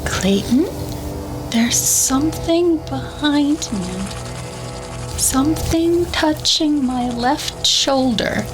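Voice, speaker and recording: Woman acting a slow, frightened young woman. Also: high-pitched, expressive, full character voice. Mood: anxious. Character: frightened, clinging young woman companion in alien invasion. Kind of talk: radio drama acting. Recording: music behind the voice.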